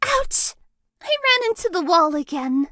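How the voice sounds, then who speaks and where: A woman voicing a bubbly, shy, lovesick ghost girl in a dating game, with a girlish, breathy, cutesy voice. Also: very animated, unhurried, noisy background, exaggerated voice. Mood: sheepish. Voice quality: very high, girlish voice. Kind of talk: anime-style dating game character lines.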